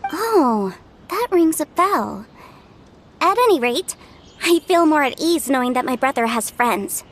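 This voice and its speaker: Affectionate older sister, high and animated, brisk and protective. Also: female voice, a little hiss or hum. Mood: caring. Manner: brisk, warm-hearted and protective.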